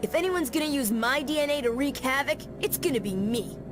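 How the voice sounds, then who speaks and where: A woman voicing a cocky, sarcastic young boy hero in a video game, brash and quick with wisecracks. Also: sits high, lively intonation, music in the background. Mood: determined.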